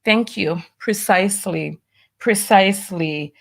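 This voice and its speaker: A woman's voice: mid-range voice, takes it slowly, gravelly. Chatty New York woman livestream host. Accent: New York, partly masked. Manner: chatty, wry asides, doing her makeup while talking. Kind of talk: casual livestream chat, reading viewer donations.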